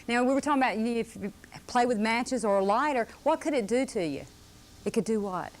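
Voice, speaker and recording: A woman's voice: a little high, lively intonation, a little fast. A woman presenting a fire safety lesson to children, patiently repeating points and checking with questions. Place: live puppet show before children. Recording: noisy live room on VHS tape.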